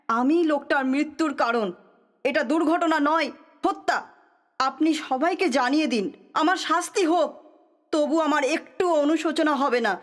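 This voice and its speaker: A woman's voice: high-pitched, lightly acted. A woman playing an embittered, defiant, unrepentant woman in an audio drama, speaking firmly and intensely. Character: embittered, defiant woman confessing without remorse. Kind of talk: Bengali audio drama acting.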